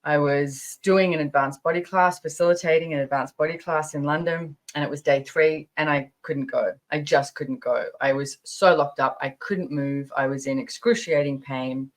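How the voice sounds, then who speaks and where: A woman's voice: low voice, normal speed, few pauses. A woman in her fifties hosting a podcast, telling personal stories casually in a chatty, emphatic way, repeating phrases for stress. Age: 50s. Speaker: podcast host. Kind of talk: casual personal storytelling monologue.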